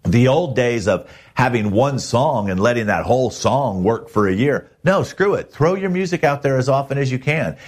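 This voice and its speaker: A man hosting a podcast in a motivational, advice-giving style, emphatic and plain-spoken, with a husky voice. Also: medium pitch, lively intonation, normal speed, few pauses. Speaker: podcast host, music manager.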